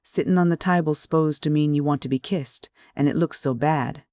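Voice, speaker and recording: A man's voice: brisk, a little mellow, no echo, studio quality, close to an everyday voice. Man doing a cheeky Cockney teenage office boy. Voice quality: breaking voice, gruff bass to treble squeak. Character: cheeky, self-important seventeen-year-old Cockney office boy.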